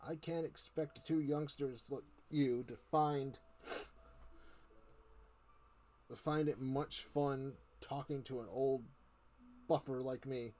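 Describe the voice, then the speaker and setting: A man's voice: medium pitch, steady tone, unhurried, dark tone, close to an everyday voice, poor audio. A man voicing a sly, creepy old uncle from a storybook, falsely genial and coaxing. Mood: sly, resigned. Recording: noticeable background noise.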